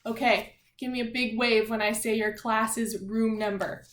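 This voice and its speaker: A woman's voice: a little high, steady tone, a little slow, fairly bright tone, a little hiss or hum. A woman elementary teacher leading a read-aloud math lesson for young children, speaking in an encouraging, singsong, questioning way. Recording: echoey room.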